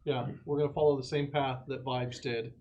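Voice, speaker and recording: A man's voice: mid-range voice, fairly even intonation, brisk, a little mellow, lo-fi sound, slight background noise. A man playing a tabletop roleplaying game, chatting casually with the group.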